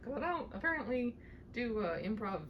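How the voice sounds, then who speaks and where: A woman narrates like a hobby audiobook reader, informal and self-deprecating. Her intonation is highly varied. The voice sits some distance from the microphone, with slight noise in the recording. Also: big pitch swings, normal speed, dull audio. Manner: chatty, self-deprecating, rambling asides. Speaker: amateur audiobook reader and channel host. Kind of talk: storybook narration with casual asides. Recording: distant mic with faint noise.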